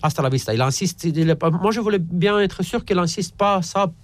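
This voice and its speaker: A man from Romania, an Orthodox priest answering interview questions haltingly and repeating words as he searches. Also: high-pitched.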